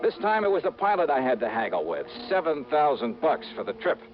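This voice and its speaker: Hardboiled 1950s radio detective. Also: male voice, fairly high pitch, very animated, brisk. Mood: wry narration. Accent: American. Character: hardboiled male freelance insurance investigator, brash, impatient, wry. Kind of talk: 1950s radio drama acting and first-person narration. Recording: dull vintage radio recording with music.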